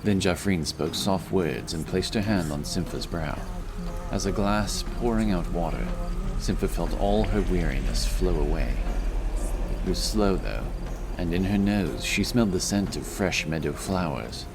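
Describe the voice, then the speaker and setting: A man's voice: low voice, lively intonation, unhurried, over music. A man reading scripted narration for a fantasy story as its narrator.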